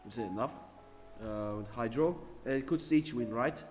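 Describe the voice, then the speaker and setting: A man giving casual, halting game commentary in an offhand, chuckling way as he plays. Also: mid-range voice, a little mellow. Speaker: gamer, streamer. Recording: game music behind the voice.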